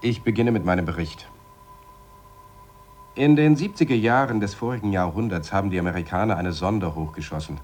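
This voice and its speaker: An actor playing a matter-of-fact space lab astronaut in a radio drama, explaining in a measured, dryly sarcastic way. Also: male voice, deep voice, a little mellow, faint music behind, close to an everyday voice. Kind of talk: radio drama acting, spoken report.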